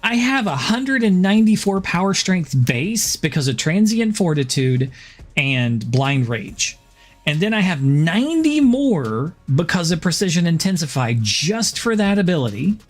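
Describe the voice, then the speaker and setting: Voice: mid-range voice, sing-song, a little slow, faint music behind. A game streamer chatting casually about a build, laid-back and often laughing. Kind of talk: casual gameplay chat.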